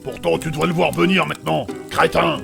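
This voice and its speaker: Man voicing a gravelly, surly adventurer. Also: a little high, steady tone, a little fast. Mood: scornful. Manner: gruff, blunt, aggressive. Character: surly, foul-mouthed, dim, prejudiced adventurer. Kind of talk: comic fantasy audio drama. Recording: music behind the voice.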